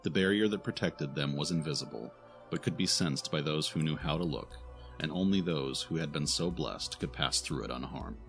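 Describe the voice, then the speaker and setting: A man's voice: rumbling low, normal speed. A man reading scripted narration for a fantasy audio drama. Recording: music behind the narration.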